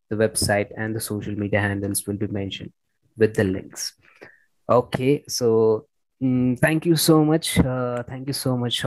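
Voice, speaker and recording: A man's voice: lowish voice, no room sound, low-quality recording. A man hosting a conversational interview, often repeating his words and phrases as he goes. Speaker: podcast host interviewing a guest.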